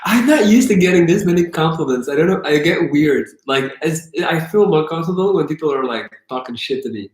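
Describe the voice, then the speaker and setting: A man's voice: a little high, lively intonation, fairly quick, few pauses, roomy sound. A man hosting a live question-and-answer stream, joking and teasing while he reads out viewer questions. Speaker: YouTube host.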